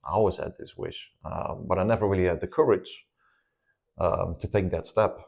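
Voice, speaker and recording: A man's voice: deep voice, normal speed, gravelly, a little mellow. A man, a guest speaking in a casual interview, hesitant with many ums and restarts. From Netherlands.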